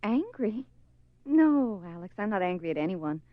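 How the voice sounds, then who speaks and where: A woman's voice: medium pitch, big pitch swings, moderate pace, dry recording, close to an everyday voice. Woman acting an eerie, lilting, obsessed wife. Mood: eerily contented. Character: obsessed wife, eerily contented, turning possessive and menacing. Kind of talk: dramatic radio horror play acting. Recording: dull lo-fi, dry radio recording.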